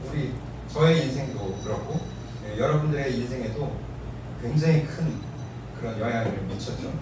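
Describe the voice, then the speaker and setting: A man in his late fifties, a pastor, giving a relaxed, chatty sermon with jokes about his own age. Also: medium pitch. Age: 50s. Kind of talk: sermon at an alumni reunion. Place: church hall. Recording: echoey hall from far off, noisy.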